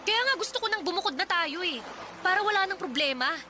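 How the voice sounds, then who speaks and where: A woman's voice: extremely high pitch, animated, quick pace, noisy background. A woman playing a fed-up young wife and mother who complains in a Filipino radio drama. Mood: annoyed, complaining. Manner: exasperated, complaining. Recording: music behind the voice.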